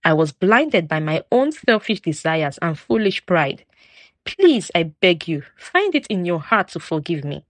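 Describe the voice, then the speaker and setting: A woman's voice: on the low side, big pitch swings, a little slow, dry recording. A woman voicing a broken, remorseful husband in a folktale, humbly pleading.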